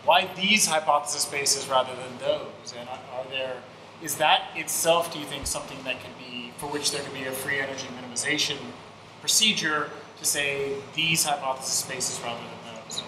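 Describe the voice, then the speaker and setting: A man's voice: mid-range voice, moderate pace. A middle-aged man on an academic panel, thinking aloud and searching for words as he asks and answers questions. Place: seminar room with a panel table. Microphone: table microphone. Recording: echoey hall with background noise.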